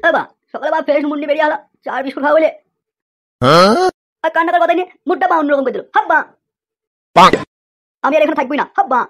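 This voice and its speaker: A woman voicing an angry, swaggering man in a comic cartoon dub, ranting with slangy tags in a husky, rough voice. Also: high voice, quick pace, long pauses, highs cut off, lightly acted.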